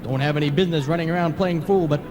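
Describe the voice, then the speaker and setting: A man's voice: fairly high pitch, very fast, a little mellow, dull audio, faint music behind, natural voice. A man acting a mischievous, self-effacing old ghost with folksy small-town speech in an old-time radio play. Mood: wry, confiding. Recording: reverberant, noisy analogue tape of radio play.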